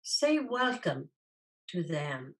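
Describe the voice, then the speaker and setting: A woman leading a guided meditation as a teacher, gently instructing in a soothing, unhurried way with long pauses. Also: deep voice, big pitch swings, low-quality recording.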